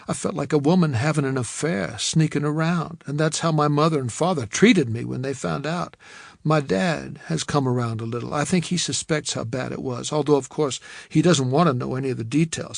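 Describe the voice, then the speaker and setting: A man's voice: on the high side, gravelly, no echo, clean studio sound. A man voicing a frank, wry young Southern woman, with a faint Southern accent, in an audiobook reading. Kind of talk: audiobook character voice.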